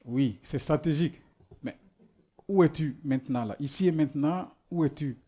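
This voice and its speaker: A man's voice: fairly high pitch, normal speed, mellow. A man who is not a native speaker preaches a sermon, asking rhetorical questions and often restarting as he searches for words. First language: not French.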